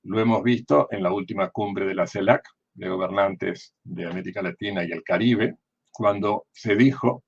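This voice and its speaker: An older man with an Argentine accent giving political commentary in a deliberate, didactic way, often posing questions and answering them himself. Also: low-pitched, vocal fry, husky, highs cut off. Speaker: former diplomat and political analyst.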